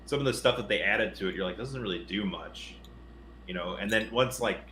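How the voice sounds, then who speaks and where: A man's voice: fairly low pitch, a little fast, sharp tone. A man co-hosting a podcast, chatting casually in an informal, rambling way. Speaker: podcast co-host. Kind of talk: casual chat about games and movies. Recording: roomy sound, faint noise.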